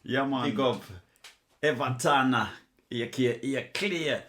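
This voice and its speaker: A man's voice: mid-range voice, takes it slowly, fairly bright tone. A middle-aged man with a Jamaican accent, hosting a casual chat and telling stories. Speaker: host.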